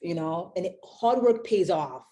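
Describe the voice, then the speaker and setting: Woman, young adult, from Haiti, podcast guest, low voice, mellow tone, rambling with fillers. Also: animated, measured pace. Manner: rambling with many fillers and restarts. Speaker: podcast guest, company founder. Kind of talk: casual interview chat.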